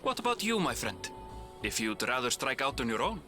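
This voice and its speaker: A man's voice: mid-range voice, sing-song, fairly quick, music in the background, lightly acted. An actor voicing a courteous, considerate male traveller in a video game. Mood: polite, concerned. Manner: polite, considerate, articulate. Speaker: game character.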